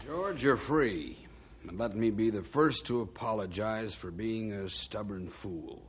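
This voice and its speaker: An actor playing an earnest sheriff and storyteller in old-time radio drama, speaking in a measured, dramatic, moralizing way. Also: male voice, fairly low pitch, background noise, natural voice. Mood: contrite. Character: earnest sheriff who narrates to young listeners.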